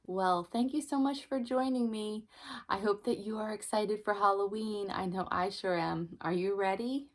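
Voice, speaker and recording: A young woman and mother reading a children's book aloud and then chatting gently with her child about it. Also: mid-range voice, moderate pace. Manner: gentle, expressive, talks to the child.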